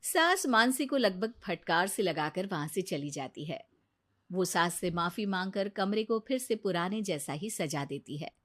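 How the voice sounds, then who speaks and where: A woman's voice: fairly low pitch, lively intonation, measured pace, fairly bright tone. A woman delivering scripted narration as the storyteller of a moral tale.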